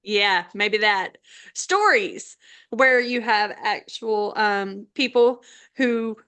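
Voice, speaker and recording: A woman with a medium-pitched voice chats as co-host of a travel podcast. Her delivery is informal and conversational, prompting her partner with questions and short interjections. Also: slow pace, fairly bright tone, clean tone. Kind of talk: casual podcast chat about travel.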